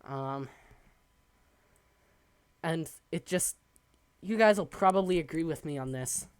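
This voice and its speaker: A young man streaming a building game from his desk at home, chatting in a rambling, halting way, his voice raspy and hoarse from coughing. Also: on the high side, dry recording. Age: young adult. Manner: rambling, halting, many ums. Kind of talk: casual live-stream chat while building.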